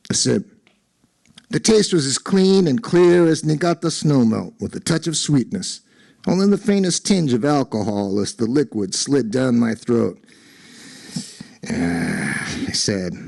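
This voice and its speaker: A man giving an expressive dramatic reading of literary fiction, acting out the dialogue with emphasis. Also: medium pitch, gravelly. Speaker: story reader at an awards ceremony.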